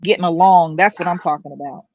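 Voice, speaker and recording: A live stream host chatting casually and asking measured questions. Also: mid-range voice, fairly even intonation, darkish tone, no room sound. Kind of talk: casual chat. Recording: dull lo-fi stream audio.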